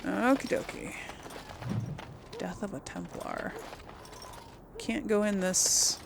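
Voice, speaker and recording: A woman's voice: mid-range voice, big pitch swings, slow pace, vocal fry, background noise. A woman doing casual let's play commentary, chatting and musing aloud as she plays. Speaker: game streamer, role-player. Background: game ambience.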